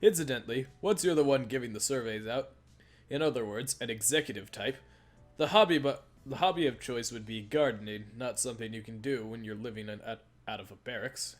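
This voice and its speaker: A man reads game dialogue aloud in a casual, halting way, tripping on phrases and starting them again. His voice is of medium pitch, with music playing faintly behind it. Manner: halting, stumbles and repeats phrases while reading. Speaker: let's play host reading a visual novel aloud.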